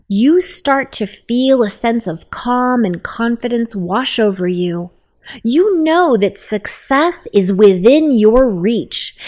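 A woman leading a guided meditation in a soft, soothing, unhurried voice. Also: fairly high pitch.

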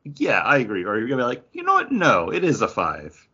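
A man's voice: medium pitch, huge pitch swings, brisk, pushed and bright, slightly rough. A man co-hosting a podcast, talking in a casual, conversational style. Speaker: podcast co-host. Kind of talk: casual chat. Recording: dull lo-fi recording.

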